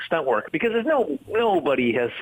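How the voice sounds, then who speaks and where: A man's voice: fairly high pitch, big pitch swings, normal speed, narrow, tinny audio, slight background noise. A man chatting casually as an interview guest, joking at his own expense and acting out his anecdotes. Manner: self-deprecating, joking, acts out his stories. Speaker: comedian and actor, interview guest. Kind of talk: animated anecdote-telling in casual radio interview.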